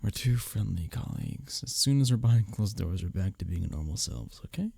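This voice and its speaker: A man acting an arrogant, teasing office rival who is secretly smitten, in an intimate audio roleplay, often breathy and near a whisper. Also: lowish voice, expressive, mellow, dry recording, studio quality, exaggerated voice. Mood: wry, grudging. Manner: smirking, teasing, halting when flustered. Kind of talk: intimate ASMR audio roleplay addressed to the listener.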